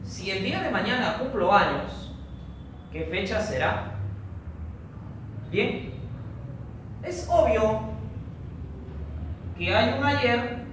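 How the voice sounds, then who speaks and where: A man teaching a recorded online training lesson for teachers, explaining a logic problem slowly and step by step. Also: high-pitched, fairly bright tone. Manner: slow, methodical, explains step by step. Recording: echoey room, distant mic, noisy.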